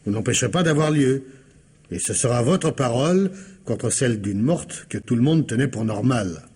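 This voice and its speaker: A man playing a stern police commissioner in a French radio mystery, formal and deliberate as he questions and warns. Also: medium pitch, animated, a little mellow, breathy edge. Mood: grave, warning.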